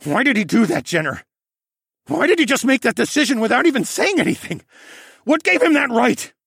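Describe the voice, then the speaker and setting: A man's voice: sits high, huge pitch swings, moderate pace, a bit bright, breathy edge, no echo, clean studio sound, voice pushed up, full character voice. A man voicing an impulsive, emotional young male hero in a fantasy audiobook, blurting urgently and informally. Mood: angry, upset.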